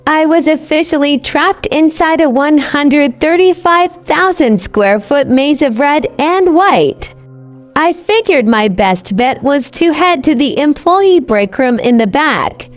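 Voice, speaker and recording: A woman narrating a scripted first-person horror story in a conversational but deliberate, dramatic way. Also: sits high. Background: music bed.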